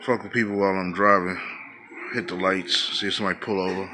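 A man's voice: sits low, fairly even intonation, fast, bright, forceful tone, soft background music. An American man casually narrating a hobby show-and-tell in slangy, unscripted, laid-back phrasing, recorded indoors on a handheld camera. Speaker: hobbyist vlogger. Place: indoors at home, speaking from behind the camera.

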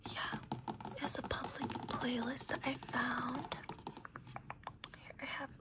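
A young woman whispers through an ASMR desk tour, her voice a little raspy because she is losing it. Her whisper sits fairly high, and she rambles casually with plenty of fillers. Soft tapping and keyboard sounds come in behind her. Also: flat delivery, takes it slowly. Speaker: ASMR creator.

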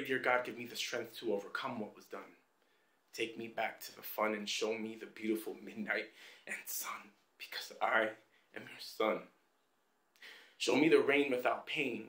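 A man performing a troubled figure confessing a painful past in an emotive, poetic film monologue with pauses and hushed drops. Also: on the low side. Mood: pleading. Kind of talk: dramatic film monologue with rhymed spoken-word poetry. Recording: roomy, echoing amateur film sound.

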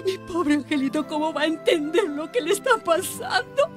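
A woman's voice: very high-pitched, lively intonation, moderate pace, music in the background. A woman with a Colombian accent playing a distraught mother in a radio soap, sobbing and wailing as she speaks. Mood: sobbing despair. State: crying. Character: distraught mother grieving for her abused young daughter. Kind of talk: radio soap opera acting.

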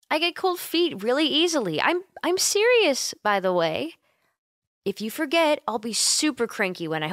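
A woman plays an affectionate young female roommate in an ASMR comfort roleplay. She talks slowly and gently, with plenty of creak and lively swings of pitch, teasing and a little sleepy, very close to the mic. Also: no echo, heavily acted. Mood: sleepy, cosy. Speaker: roleplay voice actor. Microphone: close microphone, dry.

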